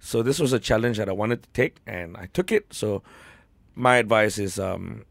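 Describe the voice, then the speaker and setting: A man's voice: fairly low pitch, animated, moderate pace, vocal fry, no room sound. A man with a Malaysian English accent, a voice actor speaking earnestly in an interview. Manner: earnest, heartfelt. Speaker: voice actor guest. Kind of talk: casual interview talk.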